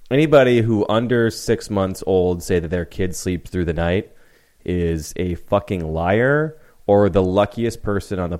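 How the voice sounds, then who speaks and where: A man's voice: deep voice, darkish tone. A man hosting a casual podcast chat, joking, laughing and swearing easily. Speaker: podcast host.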